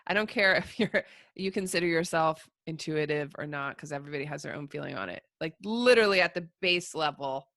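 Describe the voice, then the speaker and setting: Host: woman, bright forceful tone, lowish pitch, dry sound, rambling casual questions. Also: fairly quick, few pauses, dry recording. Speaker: podcast host. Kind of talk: casual interview chat.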